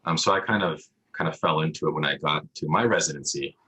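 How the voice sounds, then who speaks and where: Very deep, husky-voiced man, bright edge. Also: fast talker, low-quality recording. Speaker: emergency radiologist, interview guest. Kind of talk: casual interview answers. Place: virtual interview over video call. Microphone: video call microphone.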